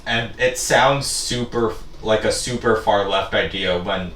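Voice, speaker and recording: A teenage boy co-hosting a casual podcast, earnest and quick to agree. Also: fairly low pitch, a bit bright. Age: teens. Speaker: podcast co-host. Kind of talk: casual chat. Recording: echoey room, distant mic, faint noise.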